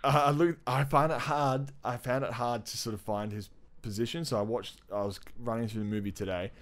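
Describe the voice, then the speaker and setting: A man's voice: medium pitch, fairly even intonation, a little fast. A man co-hosting a casual pop culture podcast, enthusiastic and slangy, swearing freely as he chats. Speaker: podcast co-host.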